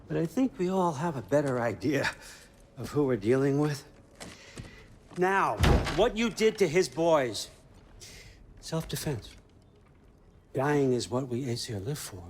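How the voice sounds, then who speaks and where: An actor playing a shrewd older Norse chief god in a video game, glib and casually threatening in a wry, conversational way. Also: male voice, medium pitch, natural voice. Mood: measured menace. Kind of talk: cinematic video game acting. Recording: faint background noise.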